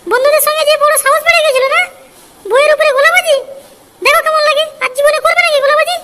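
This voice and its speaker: Shrill, bossy West Bengal cartoon wife. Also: male voice, steady tone, rapid-fire, noisy background. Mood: angry, threatening. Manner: scolding, threatening. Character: furious, bullying young village wife. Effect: pitched-up voice changer. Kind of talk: amateur comic cartoon dubbing.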